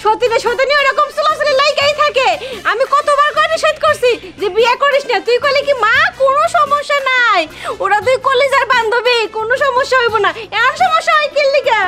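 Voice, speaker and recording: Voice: very high voice, fairly quick, music in the background. A performer voicing a nagging older mother in a comic cartoon, scolding and lamenting with a colloquial Bangladeshi accent. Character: nagging older mother-in-law, shrill and complaining.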